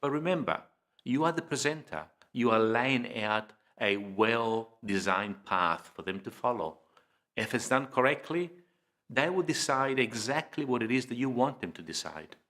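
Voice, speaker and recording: A man presenting an instructional lesson in a measured, deliberate way, pausing between phrases and stressing key words. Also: mid-range voice, lively intonation, a bit bright. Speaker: presenter of public speaking training video. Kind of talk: informative instructional lecture, scripted delivery.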